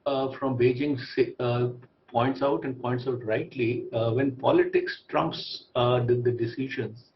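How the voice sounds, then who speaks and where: A man with an Indian accent giving formal, deliberate commentary as a diplomat on a debate panel, his voice gravelly. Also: on the high side. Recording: dull lo-fi broadcast audio.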